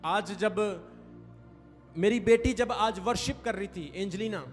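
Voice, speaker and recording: High-voiced man preaching in a reverberant hall. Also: unhurried. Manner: emphatic preaching, stretches key phrases, prompts the congregation to respond. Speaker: pastor. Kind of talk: sermon. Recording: reverberant hall with faint music. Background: faint music.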